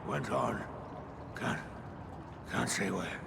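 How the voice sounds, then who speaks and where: A man voicing a dying dwarf warrior in a video game, desperate and defiant, gasping out halting, gravelly words. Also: on the low side, full character voice. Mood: desperate pleading. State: gasping, mortally wounded. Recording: noisy game cutscene audio with ambience.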